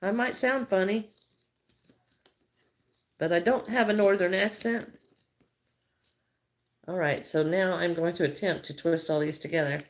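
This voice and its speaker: A woman's voice: fairly low pitch, measured pace, long pauses. Middle-aged woman, Midwestern accent, casual livestream chatter, greets viewers, thinks aloud while crafting. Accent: Midwestern American. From American Midwest. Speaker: crochet livestreamer.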